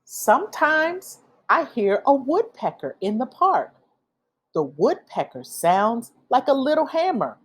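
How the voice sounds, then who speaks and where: A woman reading a children's picture book aloud, with expressive, deliberate phrasing for young listeners. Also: sits high, husky. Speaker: read-aloud storyteller.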